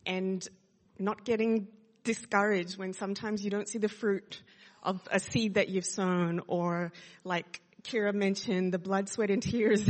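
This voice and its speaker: A woman in her thirties from Sydney with an Australian accent, sharing her testimony in a chatty way with many fillers. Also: medium pitch. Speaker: church speaker sharing testimony. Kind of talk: casual testimony talk to a congregation. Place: church hall. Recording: long hall reverb.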